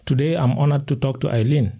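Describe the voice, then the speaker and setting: A man's voice: medium pitch, quick pace, creaky, mellow. A man hosting a casual studio interview, often giving short acknowledgements. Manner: gives frequent short acknowledgements. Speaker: podcast host. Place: small recording studio. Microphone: studio mic on boom arm.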